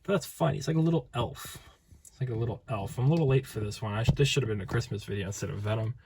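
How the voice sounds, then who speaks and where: Guy doing a toy review vlog, tired voice going out, goofy and rambly, average pitch. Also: rapid-fire, vocal fry, noisy background. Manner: rambling, playful, self-interrupting. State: tired, voice fading.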